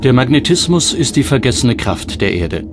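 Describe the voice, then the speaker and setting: A man narrates a documentary from a script, with a mid-pitched voice and measured, dramatic phrasing. Music plays clearly behind him throughout. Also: sing-song. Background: background music.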